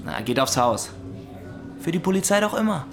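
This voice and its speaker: An actor playing a chatty, obliging barkeeper in a radio drama, gossiping casually. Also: male voice, on the high side, sing-song, moderate pace, gravelly. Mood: gossipy. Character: chatty, obliging male barkeeper who gossips about guests. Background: music.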